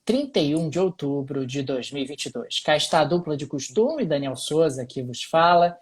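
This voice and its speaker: A man with a husky, slightly grainy voice co-hosts a relaxed live podcast. He spins stories with lively energy, breaking into laughter as he goes. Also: on the high side, normal speed. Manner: animated, laughing storyteller. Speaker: podcast co-host. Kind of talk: casual live-stream chat. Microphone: streaming live on social media.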